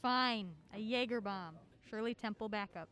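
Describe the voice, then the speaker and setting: A woman acting an anxious, quick-witted young woman on the run in a radio drama. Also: on the high side, fairly bright tone, close to an everyday voice. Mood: wry, reluctant.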